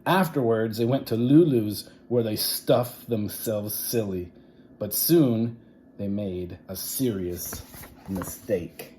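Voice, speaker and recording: A man's voice: fairly low pitch, slow, mellow, a little hiss or hum. A man reading a children's story aloud in a theatrical, playful way, with asides to his listeners. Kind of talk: animated children's read-aloud.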